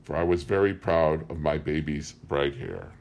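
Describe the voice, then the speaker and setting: A man's voice: a bass voice, big pitch swings, normal speed, creaky, a little mellow, lo-fi sound. A man reading a book aloud as scripted story narration. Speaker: audiobook reader.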